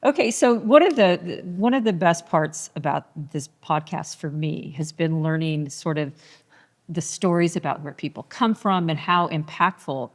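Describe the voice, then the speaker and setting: Woman with a very low voice, echoing hall. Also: lively intonation, normal speed. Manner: warm, conversational, rambling lead-ins. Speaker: podcast host. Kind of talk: interview questions. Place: large room in a private car collection. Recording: long hall reverb.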